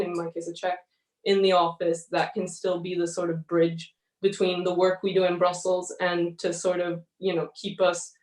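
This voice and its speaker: A woman in her thirties speaks on a video call about her job as a parliamentary assistant, filling pauses with "um" and "uh". Her voice sits low and stays flat. The sound carries room echo, as if she is some way from the mic. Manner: detailed, frequent fillers. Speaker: assistant to a member of the European Parliament. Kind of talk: online panel discussion. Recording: roomy, distant from the mic.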